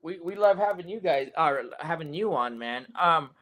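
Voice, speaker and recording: A man's voice: fairly high pitch, lively intonation, normal speed, fairly bright tone. A man in his forties hosting a casual sports podcast over a video call, rambling and stuffed with ums and uhs. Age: 40s. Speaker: podcast host.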